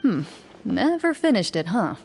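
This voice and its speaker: A calm, protective huntress in a video game. Her voice sits at a medium pitch, measured and firm, over soft background music. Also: creaky. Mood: composed, firm. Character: composed, quietly protective female hunter. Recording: faint music behind the voice.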